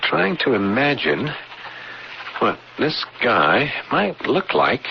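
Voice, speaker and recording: An actor playing a troubled artist husband with a haunted past in a 1980s radio drama, speaking haltingly and repeating his words. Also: medium pitch, wildly swooping pitch, gravelly, heavily acted. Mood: puzzled, absorbed. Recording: muffled, hissy old radio broadcast.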